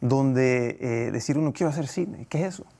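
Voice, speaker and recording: A man, giving a conversational interview in a halting way, repeating phrases, on a lapel mic in a studio. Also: medium pitch, warm tone. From El Salvador. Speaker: guest, actor and film producer. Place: TV studio set.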